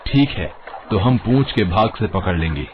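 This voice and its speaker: Casting: male actor as diplomatic deity for animated myth, lowish voice, thin telephone-like recording. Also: normal speed, a little hiss or hum, close to an everyday voice. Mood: composed. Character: composed, diplomatic male god. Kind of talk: animated mythological story dialogue.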